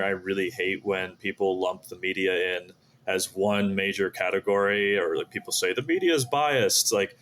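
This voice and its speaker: Male interview guest, fairly low voice, earnest explainer who talks through his ideas as a founder would. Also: fairly bright tone. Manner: earnest, explanatory, asks and answers his own questions. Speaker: podcast guest, startup founder.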